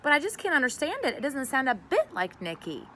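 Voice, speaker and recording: A woman's voice: high-pitched, huge pitch swings, a little fast, sharp tone, slight background noise, voice pushed up, exaggerated voice. A woman voicing an emotional, easily upset mother in a children's storybook, exclamatory and fretful. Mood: apologetic, distressed. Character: dramatic, easily upset mother, wailing and apologetic. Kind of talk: children's storybook read aloud.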